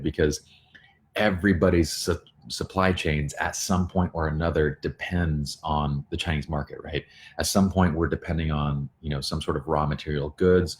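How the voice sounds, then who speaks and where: Male livestream host, extremely deep voice, informal and earnest, talking viewers through things from a bedroom at home. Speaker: photography studio owner hosting a livestream. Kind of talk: casual informal live discussion.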